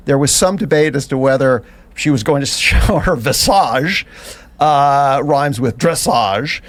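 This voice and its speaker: A man of middle pitch co-hosting a podcast, with a dry, mock-formal delivery. He needles his partner with polite doubt and prim apologies to the listeners. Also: a little slow, no room sound. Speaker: podcast co-host. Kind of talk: casual chat. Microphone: podcast mic, audio only.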